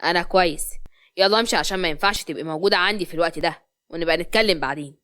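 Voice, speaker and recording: Woman as a curt Egyptian young businessman, lowered voice. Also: racing, a bit bright, lightly acted. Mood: impatient. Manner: curt, scolding. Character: gruff, easily exasperated young businessman.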